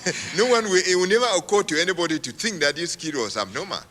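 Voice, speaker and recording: A man from Cameroon asking a question from the audience at a public talk, halting with many restarts and laughing as he talks. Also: high voice, sing-song, pushed and bright. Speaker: audience member. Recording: reverberant hall.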